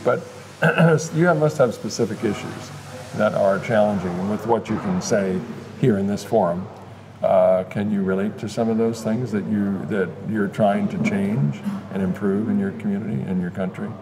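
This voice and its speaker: A man's voice: fairly low pitch, normal speed, crowd noise. A man interviewing a guest in an encouraging, conversational way. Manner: encouraging, frequent backchannel. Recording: audible air conditioning hum.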